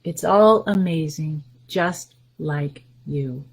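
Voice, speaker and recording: A woman's voice: low voice, slow pace, creaky, breathy edge, low-quality recording. A woman hosting a story time for young children, reading aloud and chatting casually in simple, encouraging language.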